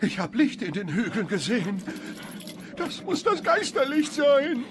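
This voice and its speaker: A man voicing a goofy, excitable, good-natured country bumpkin tow truck in an animated film dub, naive and folksy. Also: extremely high pitch, measured pace, heavily acted. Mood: spooked. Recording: faint background noise.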